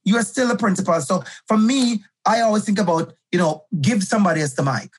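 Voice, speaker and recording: Middle-aged guy, husky voice, fired up about teaching, keeps repeating himself for emphasis. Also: high-pitched, fast talker. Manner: passionate, emphatic, repeats key phrases. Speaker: guest, education professor. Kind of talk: conversational podcast interview.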